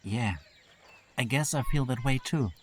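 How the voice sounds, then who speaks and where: A man playing a gentle young man in an audio drama, speaking softly and quietly. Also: medium pitch, a little slow, a little hiss or hum, natural voice.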